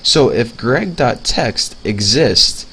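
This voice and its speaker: A man's voice: mid-range voice, lively intonation, slow, sharp tone, breathy edge. Stuffy-nosed young man teaching code. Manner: casual, filler-heavy, talks while typing. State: stuffy nose from a cold. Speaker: programming tutor. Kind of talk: casual screencast coding lesson.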